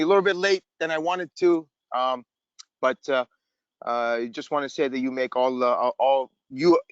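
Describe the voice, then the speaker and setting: Man, audience question, earnest and effusive, stumbling repetitions, mid pitch, dull dry call audio. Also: fairly bright tone, smooth, no room sound. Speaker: caller asking a question. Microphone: video call.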